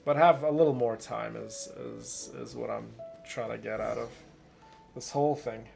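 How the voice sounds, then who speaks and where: A man hosting a game livestream in a mid-pitched, creaky voice. He chats casually and gushes fondly at his viewers, with soft music playing behind him. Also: moderate pace. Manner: effusive, affectionate toward viewers. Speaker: livestream host.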